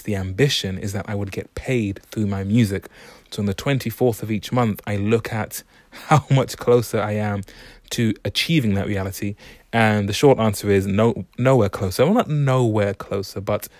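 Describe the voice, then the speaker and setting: A young man hosting a solo podcast, talking in a relaxed, reflective, unhurried way. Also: lowish voice, dry recording, studio quality. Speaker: podcast host. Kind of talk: casual solo podcast monologue.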